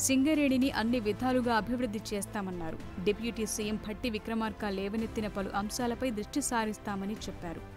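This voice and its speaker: A woman narrating a scripted news report in a brisk, formal read. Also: fairly high pitch. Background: news music.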